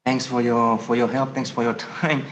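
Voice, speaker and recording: Man, Indonesian first language, hesitant English with restarts, mid pitch, faint line hiss. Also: little pitch movement, normal speed, highs cut off. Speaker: student trader. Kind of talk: casual mentoring call.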